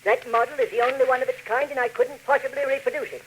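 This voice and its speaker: A man's voice: very high voice, fairly even intonation, fast, fairly bright tone, rough recording. A man playing an agitated, grave scientist in 1940s radio acting, speaking in a formal, earnest way on a hissy old recording. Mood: distressed. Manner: formal, earnest, alarmed speech. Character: agitated male scientist inventor, grave and helpless.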